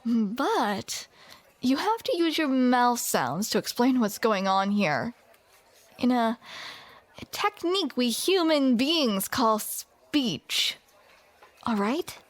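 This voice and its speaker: A woman performing a romantic audio roleplay, her voice sitting a little high and her delivery unhurried. She speaks close to the microphone and teases playfully in a scripted, intimate way. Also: sing-song. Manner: playful, teasing banter.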